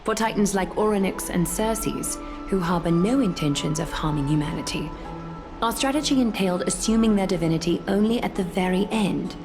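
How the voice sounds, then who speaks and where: A woman playing a composed, grave ancient leader of heroes in a video game, speaking formally and with measured care. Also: mid-range voice, natural voice. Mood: solemn. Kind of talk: scripted video game acting. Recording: music behind.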